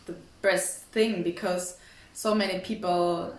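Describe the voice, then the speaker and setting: A woman's voice: fairly low pitch, steady tone, takes it slowly. A woman being interviewed as an actress, speaking casually and thoughtfully with some hesitation. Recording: distant mic, echoey noisy room.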